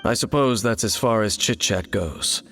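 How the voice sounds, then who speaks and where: A man playing an enigmatic, world-weary wanderer in a video game, speaking in a measured, solemn and aloof way. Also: lowish voice, a bit bright. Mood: cool, businesslike. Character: enigmatic, brooding, world-weary male wanderer of ancient knowledge.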